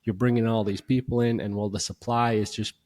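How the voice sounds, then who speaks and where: A young adult man hosting a show and explaining things in a casual, conversational way that repeats itself, his voice a little hoarse from a recent illness. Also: fairly low pitch, little pitch movement, fairly quick, darkish tone. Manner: conversational, filler-heavy, repeats himself. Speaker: host.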